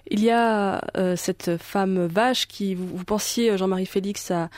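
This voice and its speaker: A woman's voice: mid-range voice, steady tone, measured pace, darkish tone, no room sound. A woman interviewing an author on the radio, hesitant and full of fillers.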